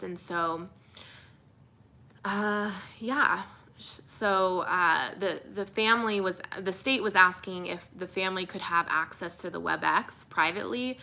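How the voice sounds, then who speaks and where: Young woman reporter chatting on a livestream, soothing, clear bright tone, lowish voice, lots of ums. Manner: relaxed, answers viewer questions with many fillers. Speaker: TV news reporter. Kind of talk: casual livestream Q&A.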